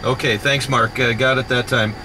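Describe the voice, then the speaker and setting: A man working a ham radio contest from a vehicle, briskly trading call signs in the phonetic alphabet with frequent "uh" fillers. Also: mid-range voice, fairly even intonation. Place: inside a moving vehicle. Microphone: mobile radio microphone. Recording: loud background noise from a mobile radio station.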